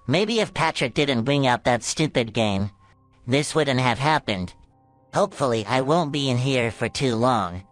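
A jittery young sea sponge, as a man puts him on for a homemade cartoon story. He sounds pitched well above a normal man's voice, whiny and easily rattled, and gets shrill when he is upset. Soft music plays faintly behind him. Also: animated, measured pace, lightly acted. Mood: sulking. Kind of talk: fan storytelling.

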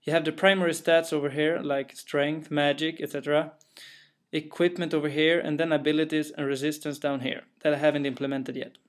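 A young developer from Sweden, his English carrying a clear Swedish accent, talks through his own game with an untheatrical, matter-of-fact delivery. His voice sits a touch higher than most men's, and he leans on small fillers and careful phrasing as he explains. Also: little pitch movement, a little fast. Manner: careful, plain, a little hesitant with fillers. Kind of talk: narrated gameplay walkthrough.

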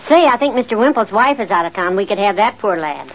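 An actress playing a patient, gently teasing middle-aged wife in an old-time radio comedy. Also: female voice, medium pitch, animated, fast, slight background noise, lightly acted. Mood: homely, chatty. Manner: gently chiding. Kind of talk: 1940s-50s radio comedy acting. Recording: muffled old radio recording.

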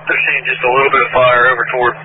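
A man's voice: medium pitch, monotone, very fast. A man talking as a firefighter in terse, matter-of-fact working exchanges over a two-way radio. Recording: tinny, noisy fire radio transmission.

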